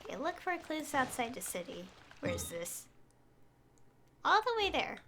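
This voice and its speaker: A woman's voice: high-pitched, very animated, normal speed, background noise. A woman giving casual livestream game commentary, hesitant and thinking aloud. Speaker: game streamer.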